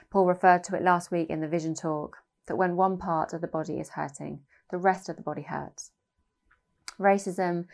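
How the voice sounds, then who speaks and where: Low, creaky-voiced British woman. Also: darkish tone. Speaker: church host introducing a guest. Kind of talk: prepared address to a congregation.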